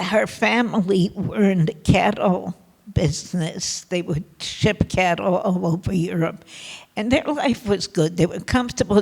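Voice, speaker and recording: A woman in her eighties, born in Poland, giving a personal testimony to students, her voice soft and sometimes faint from a tremor, speaking slowly with pauses and restarts. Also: mid-range voice. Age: 80s. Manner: slow and halting, with pauses and restarts. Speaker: Holocaust survivor speaker. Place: consulate event room. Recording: long hall reverb.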